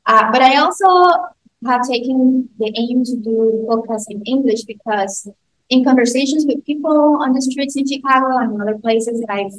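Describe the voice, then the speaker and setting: A woman hosting a podcast in English, her second language after Spanish. Her voice sits a little high, and she talks haltingly, with frequent ums and restarts. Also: rough recording. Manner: halting, many fillers and restarts. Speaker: podcast host. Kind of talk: casual interview.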